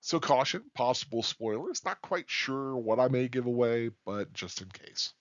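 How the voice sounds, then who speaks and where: A man giving an unscripted, rambling film review in a halting, deliberate way with emphatic stresses. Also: medium pitch, expressive, no echo, highs cut off.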